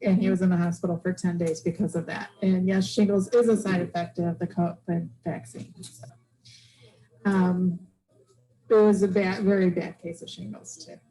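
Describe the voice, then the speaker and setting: A middle-aged woman telling a personal story at a club meeting, chatty and halting with many ums, into a handheld microphone. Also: fairly low pitch, fairly even intonation, dark tone, rough recording. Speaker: club member sharing news.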